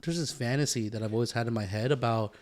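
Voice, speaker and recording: An American-accented man with a medium-pitched voice talks casually as a podcast co-host. He is reflective and a little halting, circling back to repeat his phrases as he thinks. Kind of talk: casual chat.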